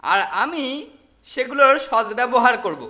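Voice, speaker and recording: A man's voice: extremely high pitch, expressive, measured pace, pushed and bright, heavily acted. A man voicing a greedy, sly boy bully who sneers and gloats. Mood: scheming.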